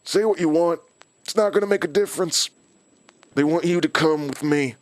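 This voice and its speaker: Actor as a smug, higher-voiced male villain. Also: moderate pace, dry recording, natural voice. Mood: taunting. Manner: taunting, calculating, controlled. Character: menacing, smug male villain, an old enemy. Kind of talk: audio drama dialogue.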